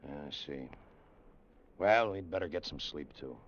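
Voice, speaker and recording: An actor playing a concerned air force colonel in an old-time radio drama, with a clipped, authoritative manner. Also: male voice, rumbling low, a little slow, heavily acted. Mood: grave, pondering. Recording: muffled vintage radio recording.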